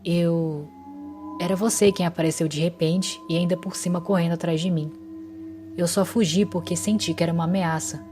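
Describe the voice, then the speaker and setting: A woman playing frightened young man, defensive, tense, low-pitched, music underneath. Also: fairly even intonation, moderate pace, warm tone, lightly acted. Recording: music bed behind voice.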